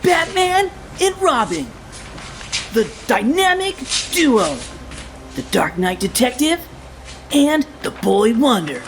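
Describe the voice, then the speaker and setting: A woman's voice: sits high, very animated, slow pace, a little hiss or hum, heavily acted. A woman voicing an eager, cocky boy sidekick in an audio drama, brash and enthusiastic. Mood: excited.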